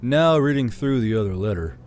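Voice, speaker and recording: A man narrating a fantasy novel in a measured, even storytelling manner. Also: mid-range voice, a little hiss or hum. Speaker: audiobook narrator. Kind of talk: scripted fiction narration.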